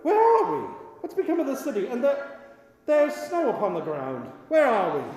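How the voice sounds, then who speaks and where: A man's voice: extremely high pitch, wildly swooping pitch, moderate pace, darkish tone, full character voice. A man playing an old miser in a radio play, wistful and remorseful, with an emotional, theatrical delivery that repeats words in agitation. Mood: amazed. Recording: echoey, long reverb with music behind.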